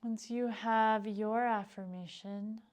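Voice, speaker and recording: A woman leading a guided meditation as a teacher, speaking in a calm, gentle, unhurried way with pauses. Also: a little high. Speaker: yoga nidra meditation teacher.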